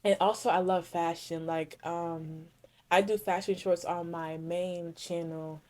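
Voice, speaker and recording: A young woman hosts a casual solo podcast, answering listener questions in a loose, rambling way and full of "like." Her voice sits a little low and sounds mellow and relaxed. She often corrects herself partway through a thought. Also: unhurried. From Connecticut, USA. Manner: rambling, self-correcting, heavy use of "like". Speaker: podcast host.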